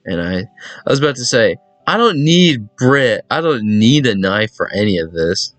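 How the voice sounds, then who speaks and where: A man's voice: lowish voice, big pitch swings, moderate pace, a bit bright, no echo. A man giving casual let's play commentary, reacting aloud to a visual novel, repeating himself and tossing in teasing asides. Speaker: gaming video host. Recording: music behind a dry voice. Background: game music.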